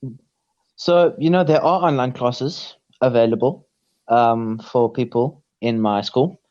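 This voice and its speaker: A teenage South African man, a podcast guest, speaking casually with deadpan self-mocking jokes over a remote call. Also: medium pitch, measured pace, muffled recording. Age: teens.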